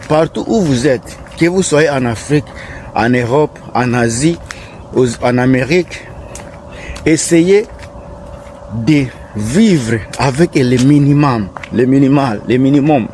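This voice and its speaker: A man with an African French accent talks straight to his viewers from outdoors, his voice mid-pitched and unhurried. He is earnest and keeps repeating his points. Faint street and traffic sounds sit behind him. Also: a little mellow. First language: French not his first language. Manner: earnest, repetitive, advising. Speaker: vlogger. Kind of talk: casual vlog talking to viewers.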